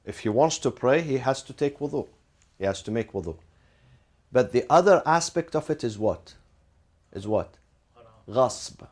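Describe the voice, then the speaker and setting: A man's voice: mid-range voice, expressive, measured pace, pauses often. A man teaching a class, posing rhetorical questions, answering them himself and repeating key phrases, with Arabic legal terms mixed in. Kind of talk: classroom lecture.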